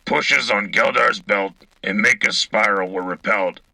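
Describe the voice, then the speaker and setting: A man playing a gruff, weary military radio correspondent, speaking in a terse, grim, dry way with a raspy voice. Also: lowish voice, unhurried, pushed and bright, no echo, full character voice. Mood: grim resolve. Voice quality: gravelly, raspy. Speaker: radio co-host. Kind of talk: wartime radio news acting.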